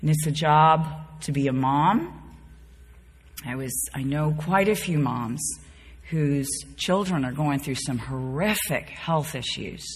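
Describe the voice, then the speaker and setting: A woman's voice: sits low, slow pace, husky. A woman in her fifties from the Bahamas and North Carolina, teaching a Bible study group in an informal, folksy, conversational way. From born and raised in the Bahamas, later North Carolina. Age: 50s. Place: large reverberant room, speaking to a group. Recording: reverberant hall.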